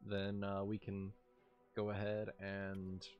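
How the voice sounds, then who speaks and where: Slow, halting man running a game. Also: lowish voice, monotone, highs cut off, music in the background. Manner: hesitant, halting delivery. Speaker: game master. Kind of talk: narrating a tabletop game, casual.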